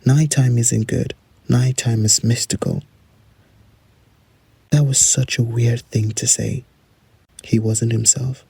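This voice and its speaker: Husky, gravelly, weary storyteller. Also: male voice, medium pitch, fairly even intonation, takes it slowly, long pauses, mellow, dry recording, full character voice. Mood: uneasy. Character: weary man recalling an unsettling night trek. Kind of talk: first-person horror audio drama monologue.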